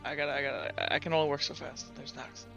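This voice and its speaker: A man narrating a tabletop roleplaying game as game master, improvising scenes in halting, loose phrasing. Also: a little high, steady tone, creaky, sharp tone, dull audio, soft background music.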